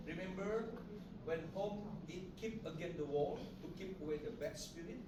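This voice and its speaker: An Indonesian-accented man with a slightly high voice gives an informal craft demonstration. He speaks simple, broken English in short phrases. He is recorded at a distance in an echoey room with loud noise behind him. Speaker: puppet maker and master giving a demonstration. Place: puppet studio, indoors.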